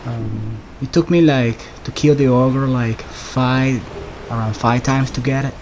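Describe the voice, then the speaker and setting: Flat-toned man doing casual game commentary. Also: mid-range voice, measured pace, faint music behind, rough recording. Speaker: gamer narrating a solo playthrough.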